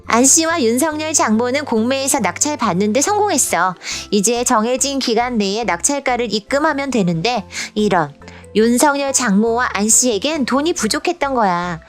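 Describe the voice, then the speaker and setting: Woman voicing a high-pitched storybook princess explainer. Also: expressive, moderate pace, close to an everyday voice. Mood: patient explaining. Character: patient young storybook princess explaining politics. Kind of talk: scripted animated explainer in casual speech. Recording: music bed behind the voice.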